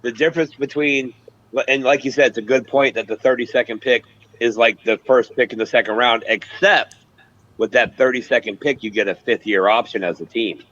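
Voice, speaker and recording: A man with a mid-pitched voice talks casually as a sports show panelist. The audio is slightly dull and lo-fi. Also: steady tone, a little fast, fairly bright tone. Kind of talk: casual chat.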